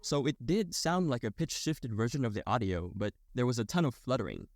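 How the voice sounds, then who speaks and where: A young man narrates an educational explainer in a medium-pitched voice. His delivery is scripted technical narration. Music plays behind him. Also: big pitch swings, fairly quick.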